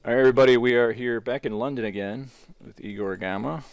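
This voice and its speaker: A man's voice: mid-range voice, quick pace, creaky, lo-fi sound, slight background noise. A man hosting a casual interview over a video call, talking in a chatty, rambling way with plenty of fillers. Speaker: podcast host.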